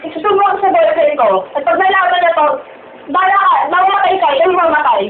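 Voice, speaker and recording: A woman's voice: very high voice, fast. A teenage girl with a Filipino accent acting as a young woman hounded by a phone stalker in an amateur horror drama. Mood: frightened. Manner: pleading, repetitive, agitated. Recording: tinny, noisy, echoey phone-like audio.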